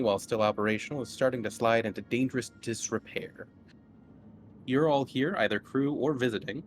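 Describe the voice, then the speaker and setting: Man narrating as game master, faint noise. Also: mid-range voice. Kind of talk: narrating a tabletop horror game.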